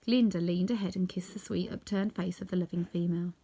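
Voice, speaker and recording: A woman's voice: on the low side, fairly even intonation, fairly quick, dark tone, slightly rough. A woman reading a children's story aloud as scripted narration.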